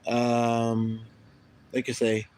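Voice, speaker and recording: A middle-aged man from Canada chatting casually on his own livestream, rambling and halting with many pauses and restarts. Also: mid-range voice, monotone, darkish tone, clean tone. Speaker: livestream host.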